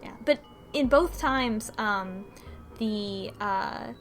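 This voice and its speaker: A woman's voice: mid-range voice, drawn-out words, soft background music. A woman in a casual group chat, speculating and repeating words as she reasons things through aloud. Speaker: podcast panelist discussing a visual novel.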